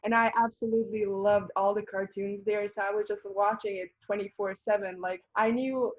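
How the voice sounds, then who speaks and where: A woman's voice: mid-range voice, steady tone, moderate pace. Young Bosnian-born woman athlete, Bosnian native speaker, chatty interview answers, a bit muffled lo-fi recording. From Bosnia and Herzegovina. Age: young adult. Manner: chatty, laughs often, many fillers and restarts. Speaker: college volleyball player.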